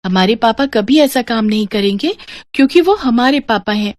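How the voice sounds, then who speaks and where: A woman's voice: a little high, lively intonation, normal speed, no room sound, clean studio sound, pitched above the performer's own voice, natural voice. Woman acting an innocent, earnest little child. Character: innocent, trusting little children consoling their mother.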